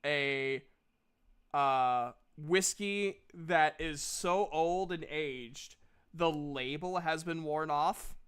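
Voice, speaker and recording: A man working as game master, narrating casually and haltingly with repeated words. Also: on the high side, fairly bright tone, clear, resonant tone.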